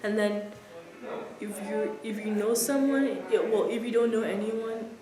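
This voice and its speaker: A woman's voice: on the high side, steady tone, unhurried, crowd noise. Informal young woman in an echoey, busy room. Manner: informal, thinking aloud. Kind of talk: casual chat in an interview. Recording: echoey room, people chattering behind.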